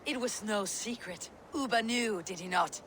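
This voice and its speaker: A woman's voice: mid-range voice, normal speed, a bit bright, a little hiss or hum, close to an everyday voice. A woman playing a blunt, steadfast Viking warrior. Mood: firm. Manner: firm, plain-spoken, commanding. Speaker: game protagonist. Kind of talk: video game cutscene acting.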